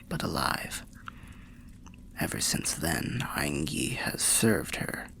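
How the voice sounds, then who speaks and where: Casting: male performer as ancient undead soul for fantasy roleplay; low-pitched, very animated intonation, softly whispered, genial and unhurried. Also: full character voice. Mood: somber. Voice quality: low gravelly voice, half-whispered. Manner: unhurried, musing, archaic fantasy phrasing. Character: old undead soul, genial storyteller by a bonfire. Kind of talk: whispery ASMR roleplay narration.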